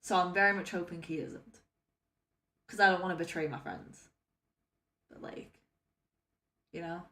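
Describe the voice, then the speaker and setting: Woman host for a reaction vlog: crisp, bright voice, roomy echo, playful and chatty. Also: on the low side, steady tone, a little slow. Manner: chatty, playful, wanders between hype and mumbled asides. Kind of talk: casual reaction chat. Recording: roomy echoey room.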